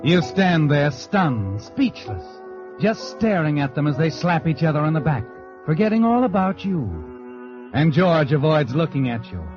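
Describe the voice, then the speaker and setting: An actor playing a sinister, all-knowing American storyteller, narrating a 1940s radio mystery in a dramatic, insinuating way. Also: male voice, a little high, very animated, measured pace. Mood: ominous, insinuating. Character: sinister, taunting all-knowing male storyteller addressing the heroine. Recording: muffled vintage radio recording. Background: dramatic music.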